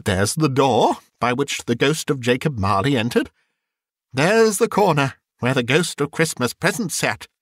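A man's voice: mid-range voice, expressive, measured pace, no echo, studio quality. A man voicing a reformed old miser bursting with joy and tearful emotion, exclaiming and whooping in a dramatic storybook reading. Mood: elated. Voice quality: voice breaking with emotion.

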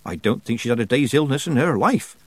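A man's voice: mid-range voice, animated, fairly quick, dry recording, pitched above the performer's own voice, close to an everyday voice. A man voicing a fussy middle-aged housekeeper who talks in a prim, disapproving way. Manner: prim, sniffy.

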